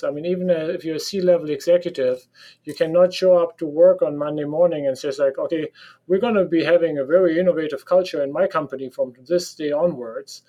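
Man, German accent, mellow, slightly high voice, direct, laughs easily, professor guest. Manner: laughs often, direct and opinionated. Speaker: professor of operations management. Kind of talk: podcast interview guest.

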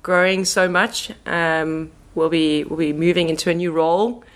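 A woman's voice: fairly low pitch, fairly even intonation, moderate pace. A woman with a South African accent, chatting informally as a guest in a casual podcast interview. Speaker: interview guest, marketing manager.

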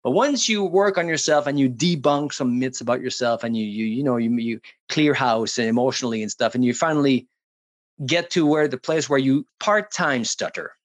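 A man from French Canada, whose first language is French, talking as a guest on an interview show in a jokey, animated way with some restarts. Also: medium pitch, normal speed. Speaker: guest, public speaking coach. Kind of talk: casual interview talk. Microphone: studio headphones and mic.

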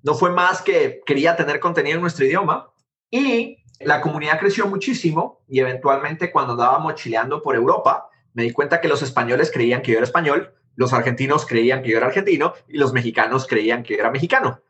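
Guatemalan man, thirties, lively storyteller, slightly high bright voice. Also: highs cut off. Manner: animated, quick, jumps between anecdotes. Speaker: interview guest, tech founder. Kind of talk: conversational storytelling.

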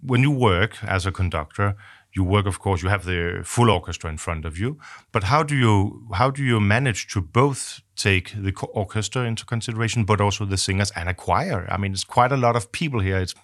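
A man's voice: on the low side, fairly quick, fairly bright tone, no echo, clean studio sound. A man hosting a podcast, asking long, rambling questions with many fillers. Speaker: podcast host. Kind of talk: interview questions.

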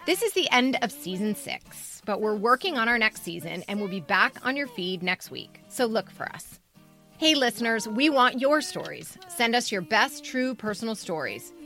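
Bright, forceful woman podcast host. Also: a little high, sing-song, moderate pace, soft background music. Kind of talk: casual co-host chat and scripted show outro.

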